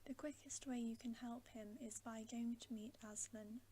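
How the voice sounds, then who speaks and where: A woman's voice: on the high side, steady tone, normal speed, dark tone, slight background noise, natural voice. A woman voicing a stern, earnest male talking beaver in a storybook, in an emphatic whisper. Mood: earnest, solemn. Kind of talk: whispered storybook character reading.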